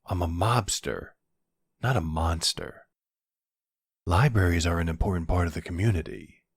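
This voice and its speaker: Man playing a gravelly, very low-voiced mafia boss. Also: no echo, clean studio sound, full character voice. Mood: calm, admiring.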